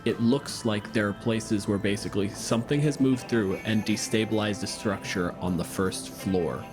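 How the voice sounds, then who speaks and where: A man's voice: lowish voice, moderate pace. Man, tabletop player and co-narrator, casual, improvised description, music behind.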